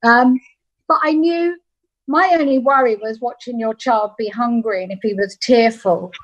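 A woman's voice: fairly high pitch, moderate pace, dull audio. A woman, chatting casually as a guest on a video call. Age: 50s. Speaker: guest, interviewed with husband. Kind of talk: casual chat. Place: room at home, video call. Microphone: video call device mic, shared with partner.

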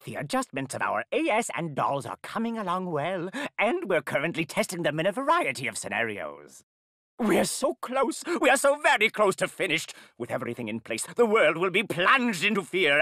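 A high, gravelly, fawning villain. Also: male voice, moderate pace, no room sound, clean studio sound, heavily acted. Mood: zealous. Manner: oily, eager and flattering. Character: fawning male general, scheming and sycophantic. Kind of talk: video game voice acting.

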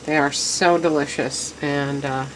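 A woman's voice: low-pitched, fairly even intonation, a little slow, slight background noise, low-quality recording. A woman hosting a casual home cooking demonstration in her kitchen, chatty and enthusiastic, talking to her viewers as she works at the stove. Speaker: home cooking show host.

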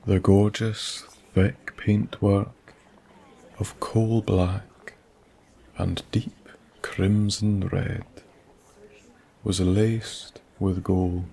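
A man with a Scottish accent narrating a soft-spoken bedtime story in a slow, hushed, soothing way that drifts into whispers. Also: sits low, little pitch movement, creaky, breathy edge.

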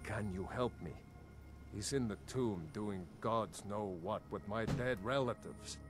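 Actor as low-voiced, earnest fantasy game villager. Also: male voice, unhurried, natural voice. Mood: worried, indignant. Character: worried male fantasy villager guarding his family's honour. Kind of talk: scripted video game character dialogue. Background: game music.

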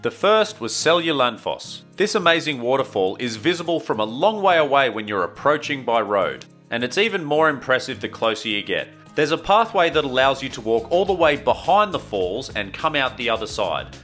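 Lively, bright-voiced man narrating travels. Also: mid-range voice, normal speed, hardly any pauses. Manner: enthusiastic, storytelling. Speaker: travel vlogger. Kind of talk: scripted travel voice-over narration. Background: faint guitar music.

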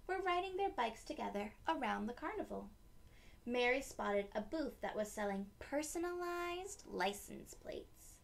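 A woman's voice: high-pitched, vocal fry, fairly bright tone, a little hiss or hum. A young adult woman hosting a children's read-aloud, explaining things simply and expressively as she goes. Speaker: storytime host, teacher. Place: small decorated room indoors.

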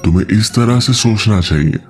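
Low-pitched man narrating over music. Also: normal speed, darkish tone. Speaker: movie explainer narrator. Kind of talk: scripted film recap narration. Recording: background music under voice-over.

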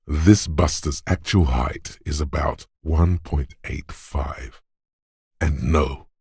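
A man playing a gruff, macho inner voice of brute muscle in a video game, goading and narrating in a deliberate, commanding, gravelly way. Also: darkish tone, no echo, clean studio sound, heavily acted. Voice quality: gravelly, husky bass.

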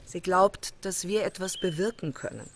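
A woman's voice: lowish voice, moderate pace, lightly acted. An actress playing an anxious, bitter middle-aged mother of a missing daughter in a crime audio drama, troubled and defensive. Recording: birdsong and noise behind. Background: chirping birds.

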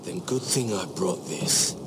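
Brash troublemaker ranger for a fantasy game: goading, foul-mouthed, very animated, heavy storm noise behind. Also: male voice, low-pitched, takes it slowly. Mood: cocky, goading. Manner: coarse, swearing, goading. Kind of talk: video game drama acting. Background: rain, night ambience.

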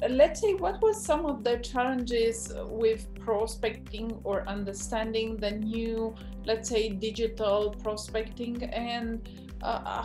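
A woman in her forties hosting a video call interview, asking questions in an enthusiastic, emphatic way. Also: a little high. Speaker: program host, interviewer. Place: indoor home office with bookshelves.

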